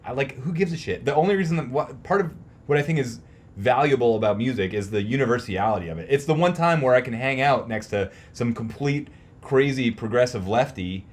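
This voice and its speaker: A man's voice: mid-range voice, expressive, brisk. A man co-hosting a casual podcast, talking in an emphatic, slangy, opinionated way with some swearing. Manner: profane, emphatic slangy ranting. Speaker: podcast co-host; teacher.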